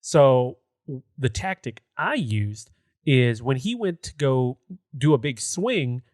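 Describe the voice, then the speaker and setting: A man's voice: medium pitch, expressive, takes it slowly, darkish tone, dry recording, studio quality. A man co-hosting a gaming podcast, chatting casually in a conversational, rambling way. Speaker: podcast co-host.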